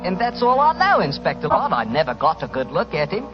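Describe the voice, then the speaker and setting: An actor playing a rough, excitable young working-class man with a London accent in 1940s radio style. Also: fairly high pitch, brisk, loud music behind the voice. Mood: agitated. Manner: blunt, excitable. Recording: muffled old 1940s radio broadcast.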